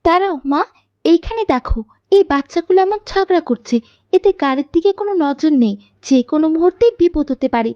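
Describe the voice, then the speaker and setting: High-voiced earnest little girl played by a woman. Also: animated, a little fast, no echo, muffled recording. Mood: concerned. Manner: polite, didactic. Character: pious, earnest little girl who corrects others politely. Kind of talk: scripted moral cartoon dialogue.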